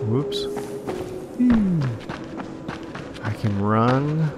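A man casually commenting while playing a game, musing and joking in slow asides. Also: medium pitch, very animated. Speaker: game streamer. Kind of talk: casual let's-play commentary. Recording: voice over game music.

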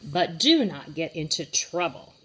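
A woman reader plays a kindly, calm man from a children's storybook. He talks gently and gives instructions like a patient guardian. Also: low voice, unhurried, breathy edge, exaggerated voice, poor audio. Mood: calm, instructing.

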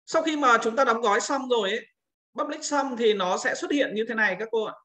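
A man instructing in a screen-recorded software tutorial, talking informally and pointing things out step by step as he demonstrates. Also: very high-pitched, brisk. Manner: informal, repeats pointing words while demonstrating.

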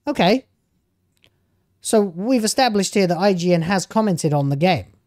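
A man's voice: high voice, lively intonation, normal speed, a little mellow, no room sound, studio quality. A man in his thirties streaming from home on a headset mic, reacting to video clips in casual, argumentative commentary. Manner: argumentative, talks back at the clips.

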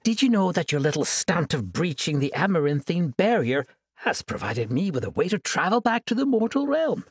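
A woman voicing a cruel, gloating sorceress who taunts her captive in a sweetly menacing, drawn-out way. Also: lowish voice, big pitch swings, husky, no echo, studio quality, voice pushed up.

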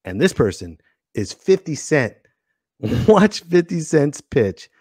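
Animated male comedy podcast host, higher-pitched voice. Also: slow, husky, no room sound, studio quality. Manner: animated, exclamatory. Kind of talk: casual comedic monologue and interview. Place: indoor home studio, dry. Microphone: close podcast microphone, headset.

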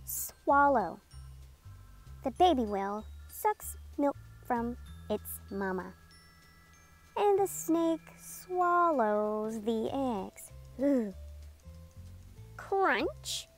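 Lady doing a kids read-aloud, super slow n careful, high voice, music playing behind. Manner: slow, deliberate enunciation with animated emphasis. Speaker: read-aloud host for children. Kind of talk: children's picture book read-aloud, sounding out words.

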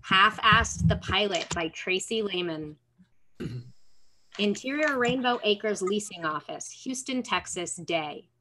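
A woman reads stage directions as scripted narration. Her voice is bright and medium in pitch, recorded on a computer video call in a room at home. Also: expressive, slow, a little hiss or hum, low-quality recording.

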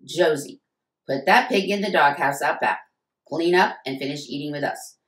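A stern, commanding father, as a woman narrator puts him on, his voice pressed lower than her own. He gives firm orders, and the recording carries a roomy echo. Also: normal speed, fairly bright tone. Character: stern middle-aged father, strict and fuming.

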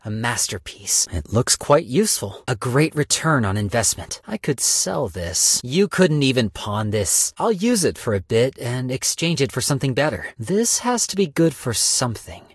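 Husky, cynical video game stock broker. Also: male voice, mid-range voice, very animated, moderate pace, few pauses, no echo, studio quality. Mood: cool, calculating. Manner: wry, businesslike, politely detached. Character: smooth-talking, money-minded, cynical male stock broker. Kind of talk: video game character voice lines.

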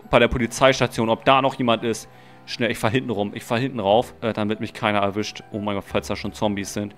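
A young man narrating a game role-play dramatically and excitedly, full of exclamations, close to the microphone. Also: fairly high pitch. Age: young adult. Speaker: gaming video host and player. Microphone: close microphone, seen. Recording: music under the voice. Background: music.

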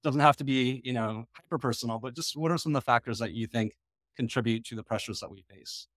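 A middle-aged man from the United States gives a conference talk over a video call, his voice sitting in a middle register. The sound is close and dry, with no room echo. He speaks in a measured, thoughtful way, pausing on ums and restarting his sentences. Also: medium pitch, a bit bright. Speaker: conference lecturer. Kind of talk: online lecture leading a discussion. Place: small indoor room. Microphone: video call webcam.

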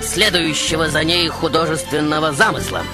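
A man's voice: fairly high pitch, fairly even intonation, moderate pace. A man hosting a radio show with a theatrical monologue, grandiose and playful in his delivery. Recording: radio show over prominent music. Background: music.

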